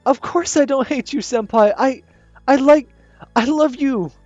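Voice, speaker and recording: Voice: sits high, unhurried, a little mellow, no echo, lo-fi sound, faint music behind, pitched above the performer's own voice. A gamer reading a visual novel aloud, voicing a shy teenage schoolgirl who pleads with a wavering voice. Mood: tender.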